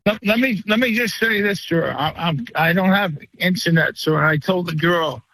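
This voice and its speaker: A man in his seventies chatting as a caller to a radio show, halting and effusive. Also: high voice, bright, forceful tone, no room sound, rough recording. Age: 70s. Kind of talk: casual phone-in chat.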